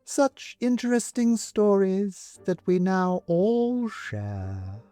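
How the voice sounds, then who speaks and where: Stern little china nun, played by a male reader, sanctimonious storybook figure, slow emphatic lines, lifted pitch, close dry sound. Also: expressive, mellow, no echo, faint music behind. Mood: smug, emphatic.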